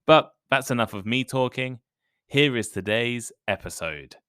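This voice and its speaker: Clear, measured male podcast host. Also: medium pitch, expressive, noisy background. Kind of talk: scripted intro then casual interview.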